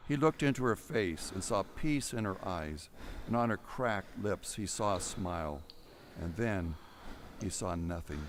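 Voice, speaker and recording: A man's voice: low voice, lively intonation, unhurried, background noise. A man narrating an audio drama, reading a scripted story in a dramatic storytelling style. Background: music.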